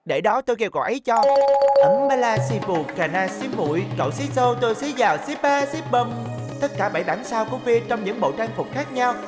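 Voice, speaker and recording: A woman voicing a chubby, boastful, food-loving boy magician in an animated cartoon, with a southern Vietnamese accent and exclamatory delivery. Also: a little high, a little fast, close to an everyday voice. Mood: boastful, gleeful. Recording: music behind the voice.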